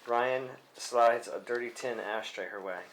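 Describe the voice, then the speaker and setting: A man's voice: medium pitch, measured pace, fairly bright tone, a little hiss or hum, poor audio. A man narrating scene headings and action lines from a screenplay at a table read. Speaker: stage-direction narrator.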